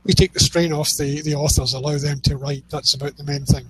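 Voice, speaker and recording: Scottish male guest, easygoing, restarts phrases, slightly high pitch, light background hum. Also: brisk, low-quality recording. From Scotland. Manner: relaxed, repeats words as he starts sentences. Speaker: guest, publishing services provider. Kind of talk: casual interview chat.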